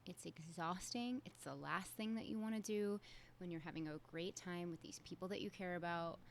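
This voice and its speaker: A woman's voice: lowish voice, normal speed, a little hiss or hum. A young adult woman, hosting a solo podcast in a candid, conversational way with casual fillers. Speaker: podcast host.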